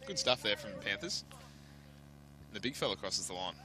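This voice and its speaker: A man's voice: fairly low pitch, lively intonation, normal speed, pushed and bright, rough recording. A man giving chatty, analytical colour commentary at an outdoor rugby league match. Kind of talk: live sports commentary and analysis.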